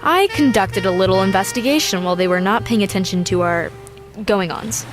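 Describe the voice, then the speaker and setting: A woman's voice: mid-range voice, animated, fairly quick, close to an everyday voice. Woman acting a curious female space traveler, music behind. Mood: conspiratorial. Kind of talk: scripted sci-fi comedy audio drama.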